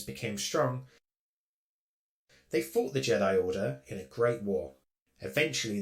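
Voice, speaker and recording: A courteous, dutiful droid, acted by a man from a sci-fi roleplay series. The speech is formal and precise, with lively swoops in pitch and a noticeable creak. It defers politely to its masters. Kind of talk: Minecraft roleplay web series voice acting.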